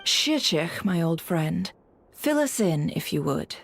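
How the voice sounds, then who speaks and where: A woman playing a shrewd, composed information broker in a video game, speaking in a cool, measured, knowing way. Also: on the low side, sing-song, gravelly, a little hiss or hum, heavily acted.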